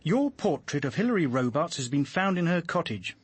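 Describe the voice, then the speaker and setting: Police inspector, husky, expressive, polite, persistent, close dry sound. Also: male voice, a little high, normal speed, no echo. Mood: firm probing. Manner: hesitant, formal questioning. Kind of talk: radio drama acting.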